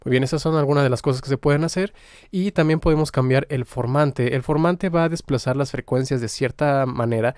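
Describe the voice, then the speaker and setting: A man giving an informal, explanatory software tutorial, pausing now and then as he demonstrates. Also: mid-range voice, no room sound, clean studio sound. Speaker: music software tutorial instructor.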